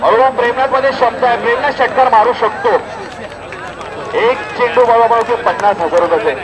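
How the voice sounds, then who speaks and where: High-pitched male cricket commentator. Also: brisk. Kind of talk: live commentary at a village cricket tournament. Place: outdoor cricket ground. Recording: noisy outdoor event with loud crowd. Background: crowd and outdoor ambience noise.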